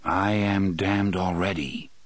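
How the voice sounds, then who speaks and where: A man voicing a weary, stern gunslinger who speaks calmly and steadily in a lowered voice. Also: no echo. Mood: calm, solemn. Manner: calm, low, measured. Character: grim, weary older male gunslinger, calm and stern.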